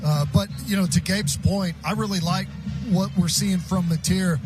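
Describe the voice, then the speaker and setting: American male color analyst, quite high voice, conversational football breakdowns, music clearly audible behind. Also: steady tone, normal speed. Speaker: radio color commentator. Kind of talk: live football color commentary.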